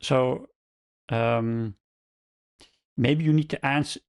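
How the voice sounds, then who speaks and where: A man's voice: mid-range voice, fairly even intonation, extremely slow, a little mellow, clean tone. A man hosting a casual chat, talking through a guest's struggles in a thoughtful, reassuring way with fillers. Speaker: trading channel host.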